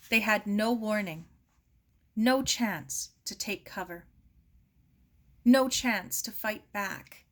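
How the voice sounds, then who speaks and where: A woman voicing a big, grieving older father in a storybook, halting and apologetic, his words pained. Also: mid-range voice, fairly bright tone. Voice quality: rough with pain. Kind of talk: storybook read-aloud.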